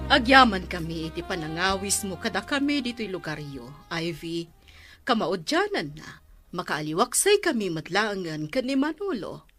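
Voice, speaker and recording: A woman's voice: medium pitch, sing-song, moderate pace, fairly bright tone, faint music behind. A woman playing a gracious, obliging married lady employer in a radio drama. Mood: grateful. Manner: polite, obliging.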